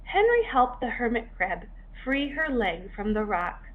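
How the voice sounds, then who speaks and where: A woman reads a children's story slowly and expressively, pausing between short phrases for drama. Her voice is fairly high and swings widely in pitch. The recording is a little dull. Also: very animated. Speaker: author reading own story. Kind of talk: children's story narration for young learners. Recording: dull lo-fi recording, faint noise.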